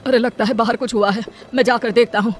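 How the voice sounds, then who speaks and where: A woman's voice: fairly high pitch, steady tone, fast talker, slight background noise, natural voice. A woman voicing a poor male laborer, an earnest, protective husband in a cartoon. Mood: urgent. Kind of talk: Hindi cartoon voice acting.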